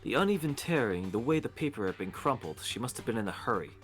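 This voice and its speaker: A man's voice: mid-range voice, animated, music in the background, close to an everyday voice. Man acting a haughty teenage schoolboy, condescending. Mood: smug, explanatory. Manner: condescending, measured. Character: haughty, condescending teenage male student, curt and impatient. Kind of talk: amateur voice acting in a game playthrough.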